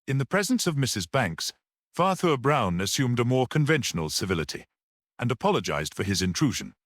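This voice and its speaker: A man's voice: medium pitch, big pitch swings, fairly quick, a bit bright, no echo, clean studio sound. A man reading a literary story aloud as a scripted audiobook narration.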